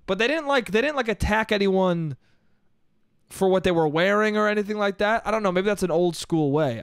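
A man's voice: sits high, clear, resonant tone, dry recording, clean studio sound. A young man in his twenties hosting a solo podcast in a small home room, talking loosely and profanely, a little congested. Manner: loose, profane, rambling, laughs at own jokes. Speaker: podcast host. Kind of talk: casual solo podcast monologue. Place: small bedroom studio. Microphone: boom-arm studio mic up close.

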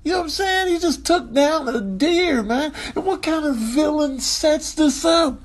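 A man's voice: very high voice, unhurried, no room sound. A man giving exasperated, exclamatory comic commentary over video clips. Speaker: comedian narrating viral clips.

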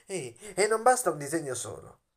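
A man's voice: fairly high pitch, very animated. A young man hosting a video in a playful, dramatic storytelling manner, as if explaining to children, recorded on a phone in a bedroom. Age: young adult. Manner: playful, dramatic storyteller with drawn-out words and questions. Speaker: video channel host. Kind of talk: theatrical children's explainer to camera. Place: small bedroom, lying on a bed indoors. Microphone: phone at arm's length.

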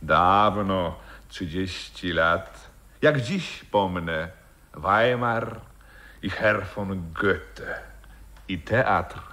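A courtly elderly gentleman of the radio drama. His lowish voice is sharp and animated, and he phrases everything with florid theatrical pomp. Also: drawn-out words, long pauses, full character voice. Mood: courtly, nostalgic. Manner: florid, pompous. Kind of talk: radio play acting.

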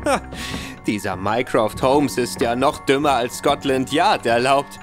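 Casting: a man as a dim, hammy young villain's son in a radio play, slow drawn-out lines, music clearly under him. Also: mid-range voice, close to an everyday voice. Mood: gloating. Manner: boastful and nervous, stammering.

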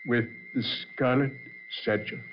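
Guy acting creepy old dead man in old radio horror, slow n fake polite, dull hissy recording. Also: mid-range voice, steady tone, close to an everyday voice. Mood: menacing courtesy. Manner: slow, sinister, mock-courteous, chuckling. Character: menacing old man back from the grave, mockingly courteous.

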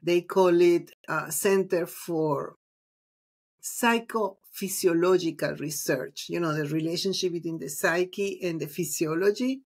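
A middle-aged woman from Venezuela with a fairly low voice talks over a video call from a room at home. She tells her story in a reflective, unhurried way, with plenty of ums. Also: breathy edge. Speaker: guest, psychologist and mindfulness teacher. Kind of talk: casual interview conversation.

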